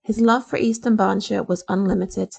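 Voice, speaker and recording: A woman reading local newspaper articles aloud as a volunteer, in a deliberate, formal way. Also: medium pitch, fairly even intonation, gravelly, darkish tone, slightly rough. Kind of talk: scripted news reading for a talking newspaper.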